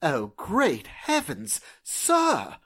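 An actor playing a fussy, deferential male butler with a husky voice, in old radio drama style. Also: on the high side, huge pitch swings, very slow, fairly bright tone, heavily acted. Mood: distressed. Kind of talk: 1940s radio drama acting.

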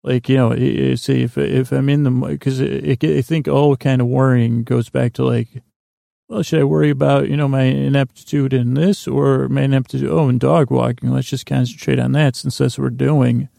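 A man podcast host with heavy vocal fry and a close, dry sound. He rambles through a personal monologue in a halting way, doubling back on his sentences and voicing bits of imagined conversation. The tone of his voice is dark and relaxed. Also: medium pitch, no echo. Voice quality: gravelly, creaky. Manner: halting, meandering, constant false starts and self-interruptions; slips into imagined dialogue.

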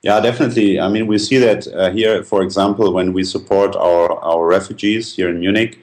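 Deep voice guy answering interview questions, earnest, bit hesitant, explains stuff. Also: steady tone, fairly quick, darkish tone. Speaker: company founder being interviewed.

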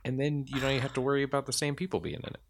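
A man's voice: medium pitch, racing, slight background noise. A man hosting a podcast in casual chat, talking in a rambling, hesitant way. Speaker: podcast host.

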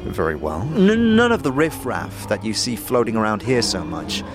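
A man's voice: fairly low pitch, very animated, normal speed. A man playing a haughty, dismissive city-dweller with a condescending manner in a fantasy audio drama, with music behind. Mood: snobbish. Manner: condescending, exasperated. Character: haughty, dismissive city-born cousin, jaded and snobbish.

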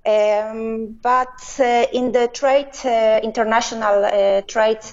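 Halting woman on a muffled phone line. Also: a little high, steady tone, a little mellow. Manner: many pauses and filler sounds, halting phrasing. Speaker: interview guest, business owner. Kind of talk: hesitant conversational answers.

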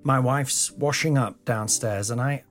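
A man hosting a solo podcast in a room of an old house, slightly croaky, talking in a meandering, self-deprecating way with some swearing, into a mic without a pop shield. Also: medium pitch, unhurried, soft background music. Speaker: podcast host. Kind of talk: rambling solo podcast monologue. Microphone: home podcast mic without a pop shield.

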